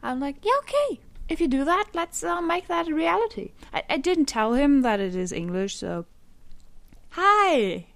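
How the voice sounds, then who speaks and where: A woman's voice: high-pitched, very animated, moderate pace, vocal fry. A young woman from Germany with a German accent, rambling and laughing as she hosts an unscripted solo podcast from home on a condenser mic. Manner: rambling, self-deprecating, laughs often. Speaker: podcast host. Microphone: USB condenser microphone.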